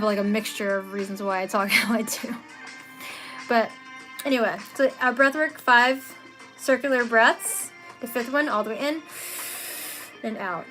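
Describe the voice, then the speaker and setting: A woman's voice: fairly high pitch, rough recording. A young woman with a Philadelphia accent, chattily and cheerfully explaining a breathwork exercise as a live meditation teacher. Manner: chatty, upbeat, laughs easily. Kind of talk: live guided breathwork instruction. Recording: music playing under the voice.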